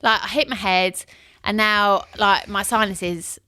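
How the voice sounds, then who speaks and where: Bright, clear-voiced chatty woman. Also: medium pitch, steady tone, a little slow. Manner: chatty, self-mocking storyteller who laughs often. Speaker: podcast co-host. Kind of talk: casual chat.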